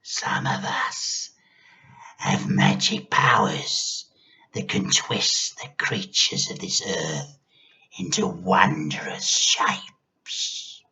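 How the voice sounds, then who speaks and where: A man voicing a nasty, gleefully menacing old grandmother in a storybook, with drawn-out, gloating delivery. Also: low-pitched, big pitch swings, vocal fry, pushed and bright, voice pushed down, exaggerated voice. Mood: menacing whisper. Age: older. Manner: drawn-out, gloating, sometimes throaty whispering.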